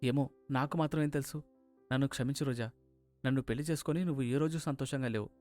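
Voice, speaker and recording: A man voicing a hurt, earnest young husband in an animated moral story, sounding aggrieved. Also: mid-range voice, quick pace, soft background music, natural voice. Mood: remorseful.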